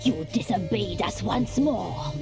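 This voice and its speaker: A woman's voice: medium pitch, animated, takes it slowly, vocal fry, over music. An actress playing an imperious, sinister witch crone of the woods in a video game, commanding and theatrical. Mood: stern menace. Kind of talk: video game cutscene acting.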